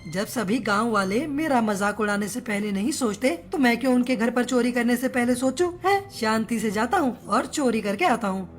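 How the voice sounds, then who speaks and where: A small male cartoon crow, acted by a woman, speaks in a high voice. He is a chatty, theatrical joker with a wounded, vengeful streak, and light music plays underneath. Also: normal speed, few pauses, natural voice. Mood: resentful, brooding. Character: short joke-telling crow, male, hurt and vengeful. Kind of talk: Hindi cartoon story dialogue.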